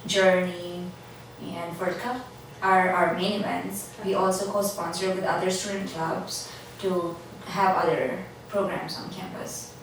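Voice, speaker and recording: A woman's voice: lowish voice, flat delivery, a little slow, a little hiss or hum. Earnest young woman from India, echoey. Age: young adult. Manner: earnest, explaining, a few hesitations. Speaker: international student. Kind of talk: casual interview. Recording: echoey room, mic far off.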